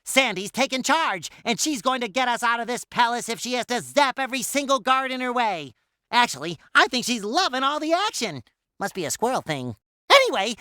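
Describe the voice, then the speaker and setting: A man voicing an excitable, childlike, cheery cartoon sea sponge for a video game, in a squeaky, nasal, exclamatory delivery. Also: heavily acted. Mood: peppy, explaining. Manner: exuberant, exclamatory, playfully earnest.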